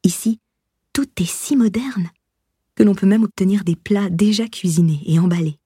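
A woman narrating a memoir as an audiobook, in a breathy, husky voice with a measured, expressive literary delivery. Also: medium pitch, no room sound, studio quality.

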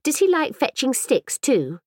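A polite, sensible young girl voiced by a woman reading a storybook. She asks earnest, puzzled questions in a slightly raised, lively voice, recorded dry and close. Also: high voice, measured pace, no echo, pitched above the performer's own voice, full character voice. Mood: curious.

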